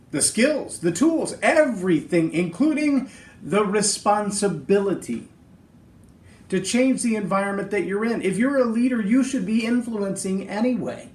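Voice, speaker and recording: Middle-aged male podcast host, big high-set voice, earnest and emphatic, roomy echo. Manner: earnest, emphatic, speaks in lists. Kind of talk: motivational leadership talk.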